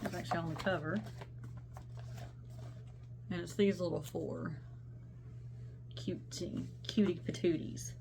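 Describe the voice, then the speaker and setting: Young woman rambling about her stitching, stuffy sick voice, kinda low, faint hum behind. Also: fairly even intonation, measured pace, gravelly, pauses often. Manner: chatty, rambling, self-interrupting. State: congested from a sinus infection. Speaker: cross-stitch vlogger. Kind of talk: casual show-and-tell vlog.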